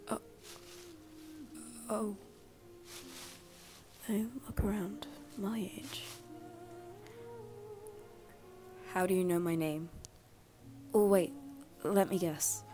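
A woman voicing a wary, easily flustered teenage boy in an anime ASMR roleplay, with halting, stammering speech. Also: on the low side. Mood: surprised, guarded. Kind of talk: ASMR roleplay acted to the listener. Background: background music.

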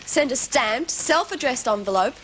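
A woman's voice: sits high, animated, moderate pace, fairly bright tone. A woman in her twenties with an Australian accent, doing scripted presenting on a TV comedy show. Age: 20s. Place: TV studio set. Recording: noisy old TV audio, studio audience.

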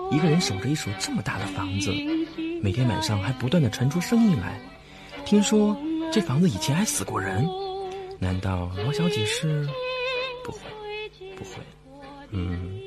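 A man's voice: a little high, wildly swooping pitch, moderate pace. An actor playing a timid, polite, nosy young male tenant in a horror radio drama, hesitant and muttering. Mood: curious, uneasy. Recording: loud music behind the voice.

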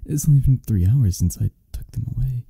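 Guy doing a obsessed flirty kidnapper guy for asmr roleplay, soft halting talk, dark husky voice. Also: medium pitch, expressive, no echo, exaggerated voice. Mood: nervous, coaxing. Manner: hushed, halting, breathy-soft delivery. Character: obsessed yandere male kidnapper, flirty, menacingly tender, possessive.

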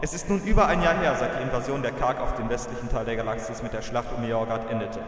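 Starship captain played by a man, mid-pitched, grave and formal, sounding distant in heavy echo. Sci-fi audio drama. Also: fairly even intonation, quick pace, lightly acted. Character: starship captain, stern and wary of war. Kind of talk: science fiction audio drama, narrated logbook and dialogue. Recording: very echoey, long reverb, distant.

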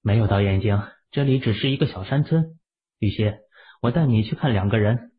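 A man voicing a calm, confident young man in an audio drama, speaking matter-of-factly. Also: on the low side, fairly quick, close to an everyday voice. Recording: muffled phone-like audio.

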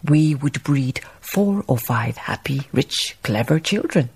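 An actress playing a wistful, wry Danish baroness, narrating a literary radio drama in a measured way. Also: female voice, very deep voice, expressive, darkish tone, breathy edge, exaggerated voice. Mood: wry, rueful. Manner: measured storytelling delivery.

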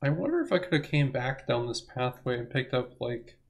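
A young man giving rambling, self-correcting let's play commentary, his voice hoarse as if he is losing it. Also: mid-range voice, animated, moderate pace. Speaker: gaming channel host.

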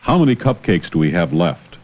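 A man's voice: deep voice, very animated, brisk, muffled recording. Male educational-film instructor, questioning and encouraging, hugely varied intonation, noisy worn 16mm sound. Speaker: teacher, narrator. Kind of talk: educational film dialogue with a child. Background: clicks and heavy film noise.

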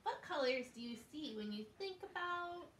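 A woman's voice: high-pitched, animated, moderate pace, a bit bright. A woman hosting a children's show, speaking simply and encouragingly as she tells a scripted story in a living room. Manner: simple, encouraging talk addressed to small children. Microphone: distant camera. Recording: echoey, noisy room, distant camera microphone.

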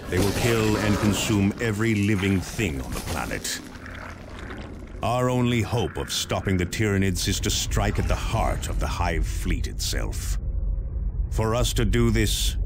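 An actor playing a grave veteran space marine officer in a video game, giving a solemn, deliberate and commanding mission briefing. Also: male voice, on the low side, animated, noisy background. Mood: ominous. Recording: music behind the voice. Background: background music.

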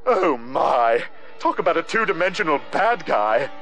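A man playing a flamboyant, scheming, sarcastic mad scientist villain in a cartoon video game cutscene, with theatrical drawn-out delivery. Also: fairly high pitch, sing-song, creaky, a bit bright, soft background music, full character voice. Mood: smug, mocking.

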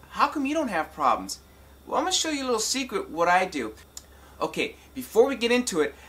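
A man's voice: a little high, sharp tone. A man giving a casual hands-on tutorial as host, addressing viewers in an informal, folksy way. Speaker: tutorial host and talk box musician. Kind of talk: casual instructional demonstration.

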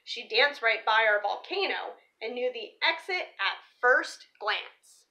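A young woman speaks to children in a high, animated voice. Her tone is bright and forward, with expressive emphasis on key words, in the manner of a teacher reading a picture book aloud. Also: slow. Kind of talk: storybook read-aloud and presentation for children. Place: small living room or room at home, indoors.

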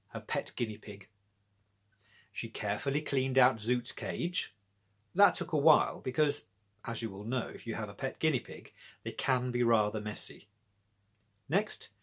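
A man's voice: mid-range voice, slightly rough. A middle-aged British man narrating a children's story as a storyteller. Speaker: author and storyteller. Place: small indoor room with bookshelves.

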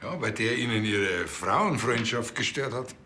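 An actor playing a cocky, casual police officer in a TV drama, needling and colloquial, with a Bavarian accent. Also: male voice, mid-range voice, lively intonation, measured pace, sharp tone, slight background noise.

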